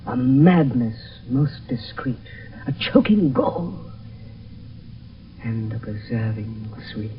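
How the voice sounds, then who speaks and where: A man's voice: mid-range voice, lively intonation, slow pace, long pauses. An actor playing a lovesick, melancholy young nobleman, brooding poetically in a radio Shakespeare play. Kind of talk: 1970s radio Shakespeare acting. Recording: dull, hissy 1972 radio recording, distant.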